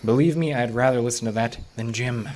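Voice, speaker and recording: A man's voice: medium pitch, fairly quick, a little mellow, a little hiss or hum, close to an everyday voice. Man acting a dry, skeptical lawman. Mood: wry, dismissive. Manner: dry, dismissive. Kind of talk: audio horror drama acting.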